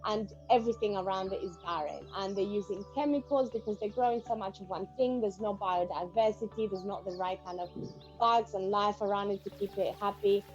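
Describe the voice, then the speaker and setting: A woman's voice: mid-range voice, fairly quick, darkish tone, lo-fi sound, soft background music. Ecuadorian woman telling her cacao story. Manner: earnest, lots of "like" and "you know". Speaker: cacao seller, guest. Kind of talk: casual conversation, storytelling.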